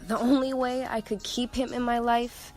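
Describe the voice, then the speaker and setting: A woman's voice: on the high side, little pitch movement, moderate pace, darkish tone, faint music behind, lightly acted. An actress playing a desperate, obsessive, scheming young woman in an audio drama. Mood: defensive, obsessive.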